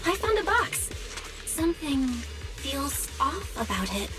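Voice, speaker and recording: A woman's voice: sits high, sing-song, takes it slowly, heavily acted. A woman playing an earnest, alert female companion character in a video game. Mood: wary. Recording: voice over loud game music.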